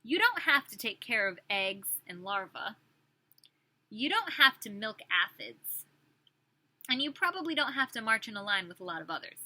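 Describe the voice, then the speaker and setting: A woman with a strikingly bright, somewhat high voice reads aloud like a preschool teacher. She is expressive and speaks directly to small children, mixing the story with questions and chatty asides. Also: normal speed. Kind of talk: children's picture-book read-aloud with questions to young listeners.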